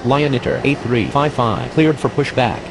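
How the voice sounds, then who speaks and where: Casting: man as male pilot and controller, game radio calls, gravelly, procedural, clear noise behind. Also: medium pitch, normal speed, lightly acted. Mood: courteous, procedural. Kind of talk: scripted aviation radio phraseology.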